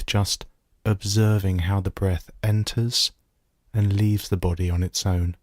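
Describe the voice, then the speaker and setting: A man guiding a body scan meditation as a teacher, giving gentle, measured instructions with pauses. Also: low voice, no room sound, clean studio sound.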